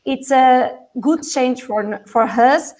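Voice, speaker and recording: High-pitched woman with a Portuguese accent. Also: darkish tone. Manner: halting non-native English, laughs often. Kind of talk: online panel discussion.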